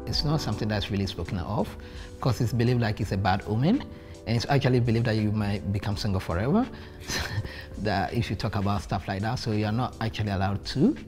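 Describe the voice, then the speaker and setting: A man's voice: fairly low pitch, a little fast, a little mellow, soft background music. A young man from Ghana, talking earnestly in a seated group conversation. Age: young adult. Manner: earnest, speaks with pride. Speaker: panel guest. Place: bright studio with plants, indoors.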